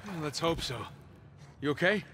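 A man's voice: a little high, normal speed, noisy background, lightly acted. An actor playing a wry, easygoing treasure hunter in his thirties, speaking casually with an American accent. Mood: focused. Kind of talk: naturalistic video game acting.

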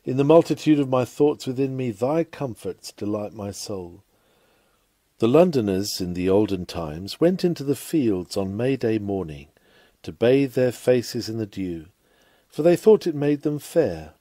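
A man reading a scripted sermon aloud in a formal, measured, oratorical manner. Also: mid-range voice, very animated, mellow, no room sound, clean studio sound. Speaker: reader of a sermon.

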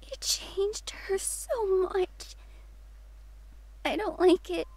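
An actress playing a timid, sad young girl in a visual novel, breathy and hesitant, pleading anxiously. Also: extremely high pitch, dry recording, heavily acted, poor audio. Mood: troubled, fearful. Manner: hesitant, halting, trailing off. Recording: music behind the voice. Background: soft game music.

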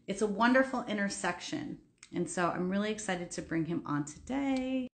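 A woman's voice: mid-range voice, animated, moderate pace. A woman in her thirties hosts a live stream from a room at home, talking into her phone camera. She speaks in a gushing, heartfelt manner, with an ordinary mid-height voice. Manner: warm-hearted, spiritual, enthusiastic. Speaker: host. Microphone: phone camera, video call.

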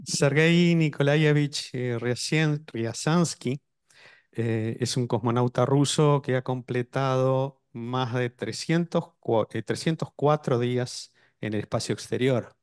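A man's voice: mid-range voice, measured pace, darkish tone, smooth, no room sound. Middle-aged man, event presenter, hesitant delivery, dry close sound. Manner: hesitant, with many fillers and restarts. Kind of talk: formal event introduction.

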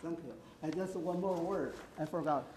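An older man gives a halting, informal explanation to an audience. The recording is echoey, with some noise in the room, and he sounds off the microphone. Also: fairly high pitch, a little mellow. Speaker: poetry presenter. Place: indoor hall with brick walls.

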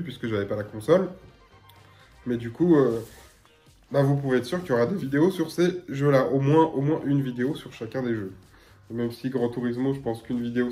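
A man in his thirties with a blocked nose and slightly hoarse voice, chatting casually to his webcam at home as a gaming YouTuber, rambling with lots of filler sounds. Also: mid-range voice, a little mellow. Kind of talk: casual to-camera chat. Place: small room at home.

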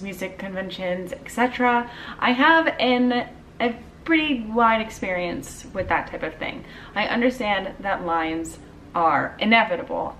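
A woman's voice: mid-range voice, a bit bright, recorded from a distance, slight background noise, low-quality recording. A young woman in her twenties telling a casual storytime vlog at home, chatty with lots of "like" and "um". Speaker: vlogger. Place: dim living room at home.

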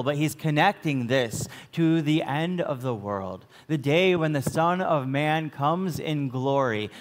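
A middle-aged American man preaching a sermon as a pastor, phrasing deliberately with emphatic stresses in a church. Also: a little high. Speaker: Lutheran pastor. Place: church sanctuary, at the pulpit. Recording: long church reverb.

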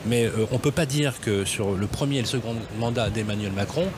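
A man's voice: on the low side, fairly quick. A man hosting a live radio interview with mayors, pressing them with emphatic questions, recorded on a table mic at a trade fair stand. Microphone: table radio mic with headphones. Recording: noisy trade fair hall.

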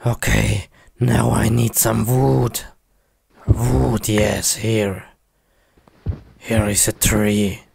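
A man voicing a hulking, angry brute who grunts and speaks in simple, broken phrases for an animated block-game cartoon. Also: lowish voice, extremely slow, long pauses, exaggerated voice. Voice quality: gravelly grunting.

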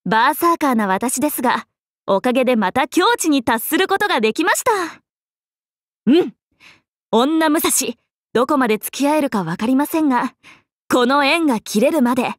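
A woman voicing a playful, cheerful young swordswoman in an anime mobile game, breezy, expressive and teasing like a big sister. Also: sits high, normal speed, dry recording, clean studio sound. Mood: grateful, warm, then confident, breezy. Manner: breezy, expressive, teasing, casual with sudden formal turns.

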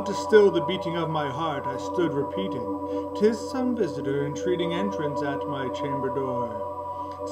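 A middle-aged man giving a dramatic, theatrical recitation of a gothic poem in an indoor room. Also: fairly high pitch. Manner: theatrical, swinging from hushed murmurs to loud cries. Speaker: poetry reader, library director. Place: indoor room by a curtained window. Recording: noisy room recording with steady hum.

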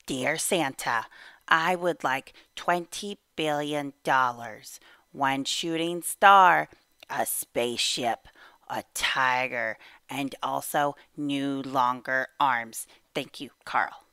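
A woman's voice: a bass voice, slow, pushed and bright, clear, resonant tone, dry recording, clean studio sound, full character voice. A woman voicing a young boy who bluntly lists his big wishes to Santa in a storybook. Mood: matter-of-fact, wishful.

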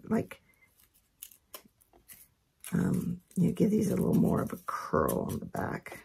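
A woman with a hoarse voice from a head cold explains a craft step by step in a relaxed, chatty way. Her voice is heavily gravelly and raspy. Her pitch sits on the low side but moves a lot. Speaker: craft instructor. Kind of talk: casual step-by-step tutorial.

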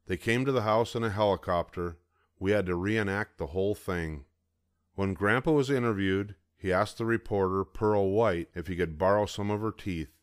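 An older man reading a picture book aloud in steady, even narration close to the mic. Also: on the low side, moderate pace, dry recording. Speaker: storybook reader.

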